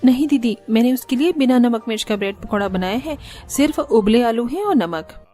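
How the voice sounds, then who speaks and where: A woman's voice: a little high, lively intonation, brisk, dark tone, natural voice. A woman voicing a sweet, obedient young newlywed daughter-in-law in a Hindi family cartoon, speaking meekly and politely. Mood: polite, eager. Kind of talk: Hindi moral-story cartoon dubbing.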